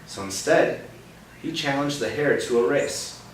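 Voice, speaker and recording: A young man reading a picture book aloud to a child, pausing often to ask gentle questions about the words. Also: mid-range voice. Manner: expressive storybook reading broken by gentle teaching questions. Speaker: read-aloud host. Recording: roomy, distant mic with background noise.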